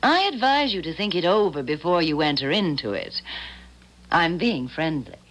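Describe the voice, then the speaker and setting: Sly low-voiced femme fatale. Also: female voice, big pitch swings, low-quality recording. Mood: teasing, menacing. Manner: teasing, smooth, deliberate, condescending. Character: sly, poised femme fatale needling a jealous wife. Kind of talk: 1970s radio drama acting.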